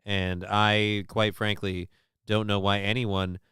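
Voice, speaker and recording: Guy hosting a live stream, bright crisp voice, super dry close mic, rambles and swears a lot. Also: fairly low pitch, flat delivery, slow pace, dry recording. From Minnesota. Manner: rambling, profane, lots of restarts and fillers. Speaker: show host reading live chat. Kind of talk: casual live-stream chat.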